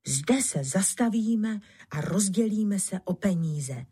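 A woman's voice: mid-range voice, expressive, mellow, slightly rough. A woman voicing a bossy, jumpy male robber chief in a storybook tale. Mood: commanding.

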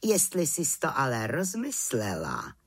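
A woman's voice: rumbling low, wildly swooping pitch, husky, full character voice. A woman voicing a sly, accusing witch aunt in a storybook reading. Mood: demanding.

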